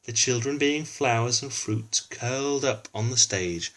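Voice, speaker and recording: A man with a mid-pitched voice reads a storybook to young children. His delivery is expressive and informal, with little explanatory asides to the listener along the way. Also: measured pace, poor audio. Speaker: children's book reader.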